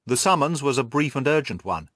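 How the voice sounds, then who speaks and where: A man's voice: medium pitch, expressive, a little fast, fairly bright tone, dry recording. Man narrating an audiobook, dry sound. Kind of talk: scripted literary narration.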